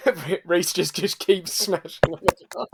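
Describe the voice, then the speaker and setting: Slightly high-voiced man improvising roleplay. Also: slow, gravelly, slightly rough. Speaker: roleplay podcast player. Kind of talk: improvised narration and casual banter.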